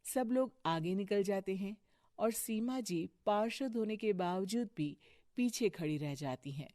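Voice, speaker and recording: A woman tells a scripted moral story as its narrator. Her pitch sits in the middle, and the sound is close and dry. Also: lively intonation, a little slow, mellow, dry recording.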